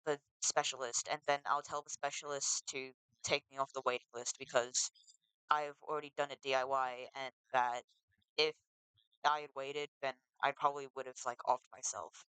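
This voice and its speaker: A teenager, talking in a casual confessional vlog, halting and full of restarts, earnest and emotional. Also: mid-range voice, flat delivery, pushed and bright, smooth, dry recording, low-quality recording. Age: teens. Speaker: vlogger.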